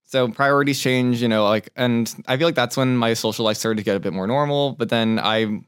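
A man's voice: mid-range voice, a little fast, smooth, dry recording, studio quality. A young man from California, a chef guest on a podcast, telling his story in an easygoing way and laughing often. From born in Taiwan, raised in California. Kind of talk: casual interview chat.

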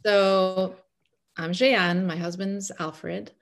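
A woman's voice: mid-range voice, fairly even intonation, very slow, a little hiss or hum. A middle-aged woman who grew up in Egypt, speaking as an interview guest in an earnest, chatty way full of restarts. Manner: warm, earnest, many fillers and restarts. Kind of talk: casual conversational interview.

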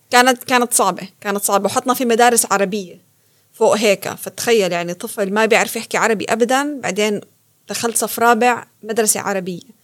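A woman, born in Kuwait, telling her life story as an interview guest with lively laughter. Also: a little high. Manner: animated storytelling, laughs often. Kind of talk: casual interview.

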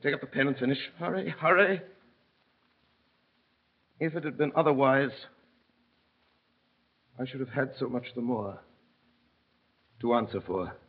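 A self-sacrificing gentleman of classic radio drama, noble and composed even when urgent. His voice is husky and mid-pitched, heard through a thin, tinny old broadcast recording. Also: unhurried, long pauses. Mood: urgent, resolved. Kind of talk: mid-20th-century radio drama acting.

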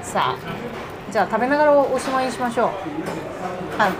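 A woman hosting a casual podcast chat, telling stories in an animated way and acting out remarks. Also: a little high, fast. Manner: animated storytelling, acts out quoted remarks. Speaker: podcast host. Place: restaurant, recorded on location. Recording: busy restaurant, music and chatter behind. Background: music and crowd chatter.

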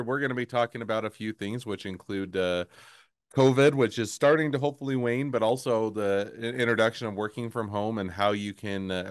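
A man hosting a podcast interview, talking in a halting way with many fillers and restarts. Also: fairly low pitch, clear, resonant tone, dry recording, studio quality. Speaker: podcast host.

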